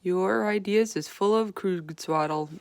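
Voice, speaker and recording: A woman's voice: medium pitch, unhurried, a little mellow, clear, resonant tone, no echo, close to an everyday voice. Female reader as big male storybook giant: sad, stubborn, kindly, rustic muddled grammar, dry sound. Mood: dismissive. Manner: folksy, ungrammatical, mangled invented words. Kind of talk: children's storybook read-aloud.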